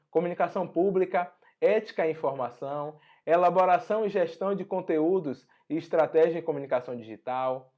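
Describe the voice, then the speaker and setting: A hoarse man with a Brazilian Portuguese accent, teaching an online exam-prep lesson in a conversational way full of tag questions. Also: a little high, a little mellow, lo-fi sound. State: hoarse after a flu. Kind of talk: online lesson walking through an exam notice.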